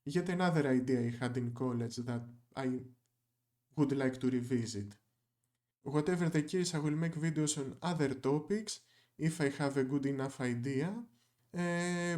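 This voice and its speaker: A man's voice: mid-range voice, darkish tone. A young man whose first language is Greek, narrating a scripted video essay with dry, wry asides.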